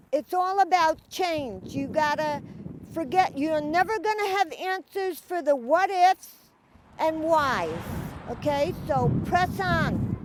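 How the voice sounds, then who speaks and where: Very high, loud older New York woman. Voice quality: loud, carrying voice. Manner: brash, emphatic, authoritative. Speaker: school crossing guard. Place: outdoors at a school road crossing. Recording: faint outdoor street noise.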